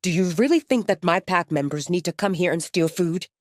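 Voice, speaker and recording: A woman's voice: fairly low pitch, lively intonation, brisk, no room sound, studio quality, natural voice. A woman voicing a stern, short-tempered young male werewolf leader with a curt, demanding manner in an audio drama.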